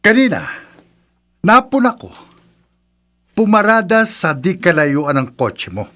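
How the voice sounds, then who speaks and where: An actor playing a hot-tempered, suspicious brother-in-law in a radio drama, confrontational and emphatic. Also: male voice, high voice, wildly swooping pitch, slow pace, pushed and bright, exaggerated voice. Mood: suspicious, threatening. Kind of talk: Filipino radio drama acting.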